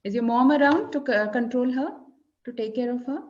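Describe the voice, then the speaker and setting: Indian english teacher lady, malayalam speaker, patient and slow, kinda muffled call audio. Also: a little high, a little mellow. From Kerala, India. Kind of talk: casual tutoring chat. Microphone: video call.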